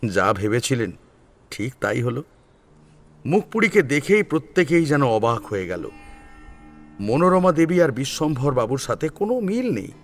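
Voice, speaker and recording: Animated male dramatic storyteller over music. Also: medium pitch. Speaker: narrator.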